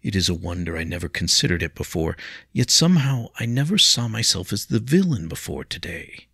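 A brooding young nobleman in a storybook tale, heated and bitter with remorse , as a man acts him out. The voice is very deep and gravelly with a husky edge, close and dry. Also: very animated, a little fast, fairly bright tone, dry recording, exaggerated voice.

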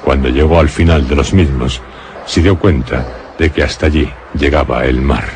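Very deep-voiced male suspense narrator. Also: moderate pace, background noise. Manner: solemn, dramatic storytelling. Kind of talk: scripted radio suspense and horror narration. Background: music.

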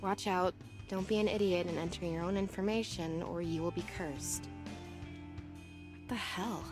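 A woman's voice: on the low side, fairly even intonation, normal speed, natural voice. Woman acting a cynical teenage girl, music behind. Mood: puzzled, reading. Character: cynical, hot-tempered young schoolgirl in anime visual novel. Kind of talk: visual novel voice acting. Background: game music.

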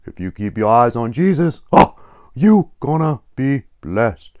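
A man's voice: mid-range voice, very animated, measured pace. A man voicing a laid-back, enthusiastic youthful dude puppet in a children's Bible skit, slangy and emphatic.

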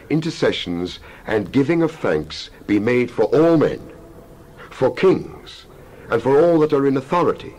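A man giving a dramatized scripture reading as a Bible narrator, solemn and emphatic, with measured oratorical phrasing. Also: mid-range voice, a little mellow, low-quality recording. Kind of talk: dramatized scripture reading, King James English.

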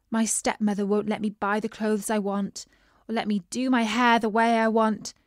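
A woman's voice: on the high side, a bit bright, no echo, clean studio sound, close to an everyday voice. A woman voicing a shy but earnest young princess in a storybook reading, eager and emphatic.

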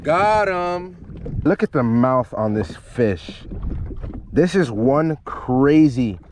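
A young man giving casual, excited fishing commentary in short, repeated exclamations, recorded outdoors on the water. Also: mid-range voice, drawn-out words. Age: young adult. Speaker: fishing vlogger. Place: outdoors on a jet ski at sea. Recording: noisy outdoor boat recording. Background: boat and open-water noise.

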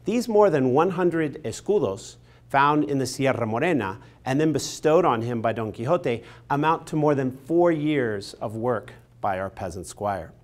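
A man in his forties delivers a scripted literature lecture in a clean studio. His voice sits at a middle pitch, and he tells the material with expressive, emphatic storytelling. He is close on a lapel mic. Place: studio in front of a painted backdrop.

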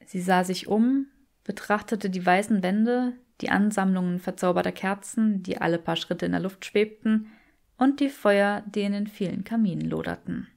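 A woman's voice: medium pitch, normal speed, creaky, darkish tone. A woman reading fiction as an audiobook narrator in scripted narration.

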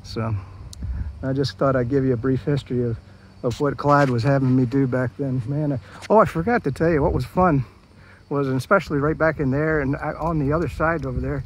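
A man's voice: medium pitch, steady tone, a little fast, slight background noise, poor audio. Middle-aged man, casual phone-camera walk-and-talk in a park; folksy, rambling, restarts often. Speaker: park walk-through vlogger. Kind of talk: casual walking commentary. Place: outdoor city park trail. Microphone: handheld phone camera.

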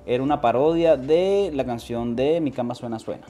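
A man's voice: medium pitch, moderate pace, dark tone, soft background music. A young man from Venezuela with a Venezuelan accent, a guest telling slangy stories with many restarts, on a sofa with a lapel mic. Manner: slangy, storytelling with quoted voices, frequent restarts. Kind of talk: casual interview. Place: living room, on a sofa.

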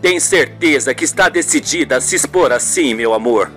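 A tender leading man of radio soap, acted by a solo performer, devoted and reassuring. His voice sits low and soft, with faint music behind it. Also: expressive, moderate pace, lightly acted. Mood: concerned. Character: Regis, tender devoted male lover, soothing. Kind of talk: radio soap opera acting.

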